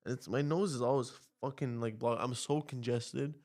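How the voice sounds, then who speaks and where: A young man with a stuffy, nasal voice talks straight to camera in a rambling podcast monologue. He swears constantly and his pitch climbs sharply when he gets worked up. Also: steady tone, moderate pace, a little mellow. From Canada. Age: young adult. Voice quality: nasal, congested. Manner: profane, rants with rising pitch. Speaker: podcast host. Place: home, on camera.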